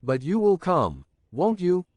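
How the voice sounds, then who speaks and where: A man reading the lines of an eager, teasing young woman who presses her questions, in a slow, lively storybook reading. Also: high-pitched, no echo, voice pushed up, full character voice. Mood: pressing.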